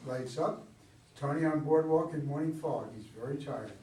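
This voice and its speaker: A man's voice: on the high side, normal speed, mellow. Male stage narrator in an echoey room. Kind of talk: scripted narration of a play. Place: indoor room at a live reading. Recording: echoey room, distant mic, faint noise.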